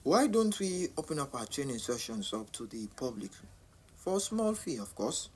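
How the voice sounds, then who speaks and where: Smooth-talking boxing manager played by an actor, lively intonation. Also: male voice, medium pitch, normal speed, close to an everyday voice. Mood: breezy reassurance. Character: calm, persuasive, money-minded male boxing manager. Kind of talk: audio drama dialogue. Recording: clear background noise.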